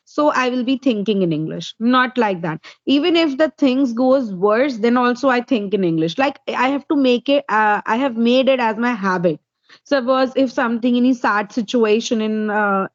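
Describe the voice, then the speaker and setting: A woman's voice: sits high, no room sound. Indian woman tutor, dull lo-fi sound. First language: Hindi. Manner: explains step by step, numbering points. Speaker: English tutor. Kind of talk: interview answers.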